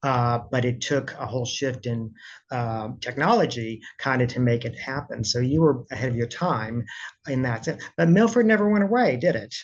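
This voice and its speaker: A man's voice: medium pitch, steady tone, moderate pace. American male interviewer, slightly dull recording. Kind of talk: casual conversational interview.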